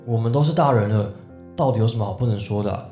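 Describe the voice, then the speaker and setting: Guy acting a young taiwanese boyfriend, flustered n sulky but sweet, audio drama w soft music under. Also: on the low side, fast talker, roomy sound, close to an everyday voice. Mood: exasperated, insistent. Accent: Taiwanese Mandarin.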